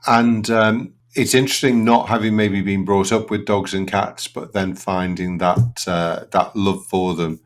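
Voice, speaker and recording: Male podcast host, low voice, relaxed and rambling, home video-call mic. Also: a little slow, hardly any pauses. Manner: relaxed and meandering, with many ums and asides. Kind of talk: conversational interview hosting.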